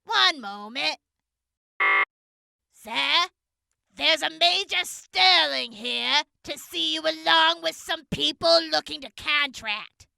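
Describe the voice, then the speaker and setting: A woman's voice: sits high, expressive, slow, vocal fry, bright, forceful tone, no echo, heavily acted. A woman playing an official, clipped receptionist at an army office in an audio drama. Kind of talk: scripted post-apocalyptic radio drama.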